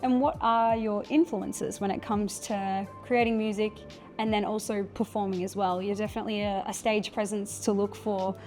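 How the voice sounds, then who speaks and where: A woman's voice: medium pitch, a little mellow. A woman interviewing a musician in a friendly, chatty way. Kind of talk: casual interview.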